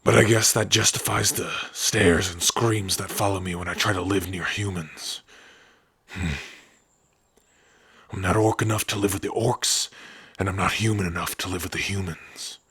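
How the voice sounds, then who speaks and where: Male actor as kind-hearted orc outcast for fantasy ASMR: low, forceful, gruff and raspy, wry and protective. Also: fairly even intonation, normal speed, heavily acted. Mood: wry, reflective. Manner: easygoing, wry, addressing a companion directly. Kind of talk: ASMR fantasy roleplay acting.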